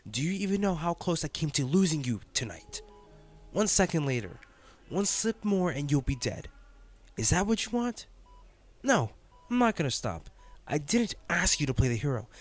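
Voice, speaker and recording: A man playing an intense, protective male field agent in a dramatic audio roleplay, speaking emotionally and emphatically to his partner. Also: fairly high pitch. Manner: emotional, emphatic, addressing the listener directly.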